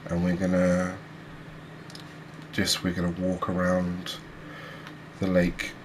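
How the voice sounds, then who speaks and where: A soft-spoken man giving relaxed, unhurried ASMR commentary on a video game, speaking close to the mic. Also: deep voice. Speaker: gaming video creator. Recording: clear background noise, ambience.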